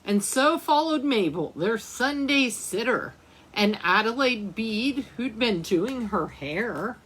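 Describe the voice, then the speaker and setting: A woman's voice: fairly high pitch, lively intonation, slow pace, a bit bright, a little hiss or hum. A woman reading a picture book aloud for children's storytime, speaking to young listeners as she goes. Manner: addresses young listeners with questions and asides. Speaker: librarian storytime reader.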